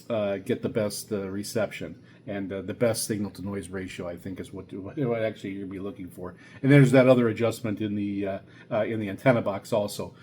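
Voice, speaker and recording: A middle-aged man giving a casual hobbyist review, talking in a halting, rambling, hesitant way. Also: mid-range voice, warm tone. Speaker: radio hobbyist video host. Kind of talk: casual product review talk. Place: small home radio room, indoors.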